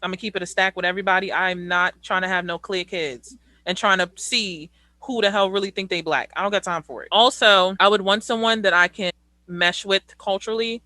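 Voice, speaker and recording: Bright-voiced blunt woman hosting a chat. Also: medium pitch, fairly even intonation, fairly quick, no echo. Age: 20s. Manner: blunt, outspoken. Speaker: host. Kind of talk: casual group chat.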